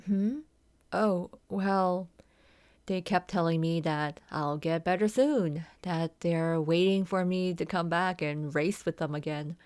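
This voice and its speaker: A woman voicing an injured, vulnerable young horse girl racer in a soft, confiding, hesitant ASMR roleplay. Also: lowish voice, steady tone, slow pace, clean tone, no echo. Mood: wistful, downcast. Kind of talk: ASMR roleplay, scripted character acting.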